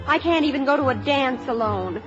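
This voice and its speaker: A woman's voice: high voice, expressive, a little fast. A woman playing an eager, self-conscious teenage daughter in a 1950s radio comedy, earnest and a little fretful. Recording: dull old radio recording with music.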